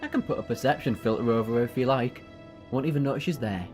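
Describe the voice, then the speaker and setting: A man plays a commanding, boastful rebel time-traveller in a sci-fi audio drama. His voice sits at a middle pitch, sure of itself, over faint music. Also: quick pace, lightly acted. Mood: measured, offering. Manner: self-assured, boastful.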